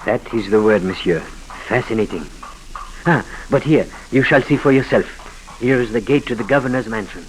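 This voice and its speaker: An actor playing a cynical, formal Haitian doctor with a French accent in a 1940s radio drama. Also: male voice, mid-range voice, normal speed, lightly acted. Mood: sardonic, suave. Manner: formal, suave, punctuates speech with courteous address. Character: cynical, smooth Haitian doctor educated in Paris. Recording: noisy 1940s radio broadcast recording.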